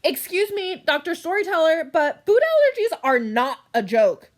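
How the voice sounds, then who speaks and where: Storybook little girl voiced by a woman: bossy, cheeky, indignant, high and lively. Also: normal speed.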